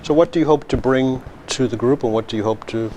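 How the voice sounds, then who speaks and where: A man's voice: medium pitch, fast talker, a little mellow, a little hiss or hum, poor audio. Male interviewer with brief, friendly prompts. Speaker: host. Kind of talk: casual interview.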